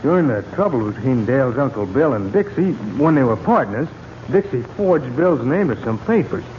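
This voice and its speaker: An actor playing an earnest American ranch hand in an old-time Western radio drama. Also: male voice, mid-range voice, sing-song, moderate pace, a little hiss or hum, lightly acted. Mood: hesitant, explaining. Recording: muffled vintage radio recording.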